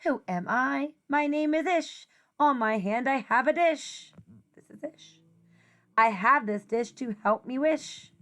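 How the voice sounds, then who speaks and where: A woman playing a whimsical storybook creature who proudly explains itself in deliberate rhyme. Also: high-pitched, fairly bright tone. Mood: proud, playful.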